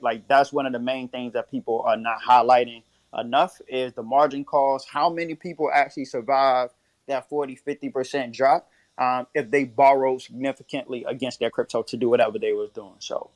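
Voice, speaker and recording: A man's voice: mid-range voice, darkish tone, no room sound. A man casually explaining a finance topic, often checking in with "right?". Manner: explanatory, keeps asking "right?". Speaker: speaker.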